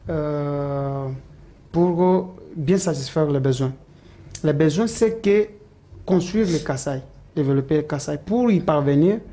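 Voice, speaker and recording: A man's voice: fairly high pitch, mellow. A man answering interview questions, hesitant and full of "euh" fillers and restarts. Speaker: political supporter interviewed as guest.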